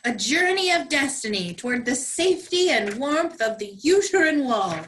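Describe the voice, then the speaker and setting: A woman's voice: high voice, sing-song, moderate pace, a bit bright, slight background noise. A woman reading scripted comedy narration in a dramatic, tongue-in-cheek way. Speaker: comedy troupe performer, narrator.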